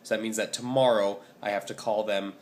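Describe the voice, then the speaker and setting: Young man, twenties, low and hoarse-voiced, casual vlogger full of filler words. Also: steady tone, fairly quick, a little mellow, a little hiss or hum. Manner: rambling, many ums and restarts. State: hoarse, scratchy voice. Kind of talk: casual vlog update.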